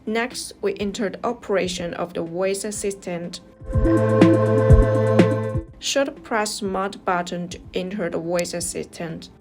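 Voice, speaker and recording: A woman's voice: lowish voice, steady tone, a little slow. A woman narrating a scripted product review step by step as the reviewer. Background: music.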